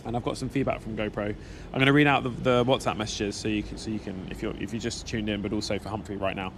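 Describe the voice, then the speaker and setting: A man's voice: on the low side, fairly even intonation, fast, noisy background. A man in his thirties with a British accent, chatting casually and self-deprecatingly to viewers on a live stream, talking into a small helmet mic. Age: 30s. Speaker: motorcycle vlogger streaming live. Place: outdoors in central London, in a café stop. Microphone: small action-camera mic on a helmet.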